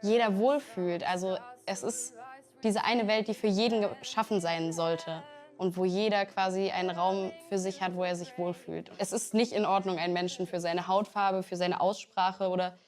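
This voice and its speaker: 18 yo girl musician talking about her song, soft music under it. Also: medium pitch, fairly even intonation, moderate pace. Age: teens. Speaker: musician in a duo. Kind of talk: interview statement in a film.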